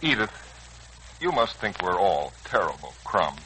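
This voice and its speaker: An actor with an American accent playing an earnest, slangy, hot-headed young son in a 1940s radio serial. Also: male voice, deep voice, very animated, a little slow, sharp tone, a little hiss or hum, full character voice, rough recording. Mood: wry, chatty. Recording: old, rough radio recording.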